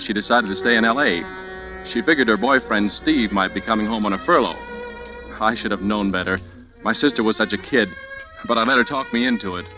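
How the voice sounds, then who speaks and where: An actor playing an anxious, protective young man from California in a 1940s radio drama, plain-spoken and halting, with music underneath. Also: on the low side, natural voice. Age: young adult. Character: anxious, protective young Californian brother telling his story.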